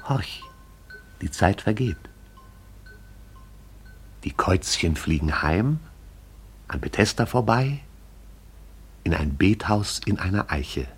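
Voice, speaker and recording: An actor playing the lyrical storyteller of a radio play, addressing the listener intimately in an incantatory, poetic way. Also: male voice, lowish voice, sing-song, slow pace, pauses often, slight background noise. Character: hushed, lyrical omniscient storyteller of a sleeping town. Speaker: narrator.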